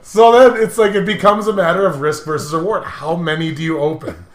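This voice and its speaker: A man's voice: a little high, steady tone, a little fast, heavy background noise. A man co-hosting a comedic game playthrough, chatting casually in a teasing, argumentative way. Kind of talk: casual banter and reading game dialogue aloud. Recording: roomy echo, music behind.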